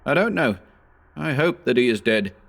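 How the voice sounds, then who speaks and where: A man's voice: medium pitch, very animated, normal speed, vocal fry, a bit bright, voice pushed up. A man voicing a grim, determined companion who speaks urgently and commandingly, in a horror story reading.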